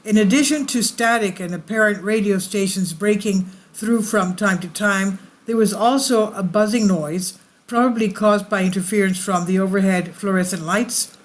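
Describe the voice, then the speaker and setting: A woman's voice: medium pitch, normal speed. A woman hosting her channel, reading an article aloud and often stumbling and correcting herself mid-sentence. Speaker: channel host.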